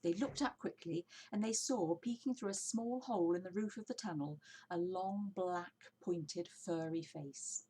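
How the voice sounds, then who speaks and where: Husky woman reading a children's story. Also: lowish voice, unhurried, mellow, rough recording. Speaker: storybook reader. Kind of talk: expressive children's book narration.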